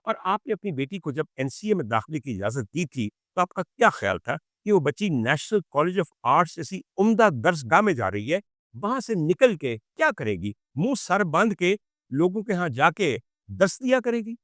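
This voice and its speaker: A man giving an opinionated commentary to viewers, indignant and sarcastic, using rhetorical questions and pauses for emphasis. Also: fairly high pitch, sing-song, fairly bright tone, no echo, studio quality. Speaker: commentary show host.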